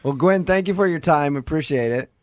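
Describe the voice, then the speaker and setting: A man's voice: fairly high pitch, a little fast. A man hosting a radio show, bantering casually with callers in a teasing, joking way.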